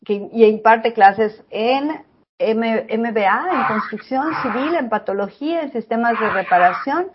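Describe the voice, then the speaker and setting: A woman's voice: a little high, lively intonation, unhurried, dull audio, slight background noise. A woman hosting a radio interview show, formal and courteous. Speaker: radio host.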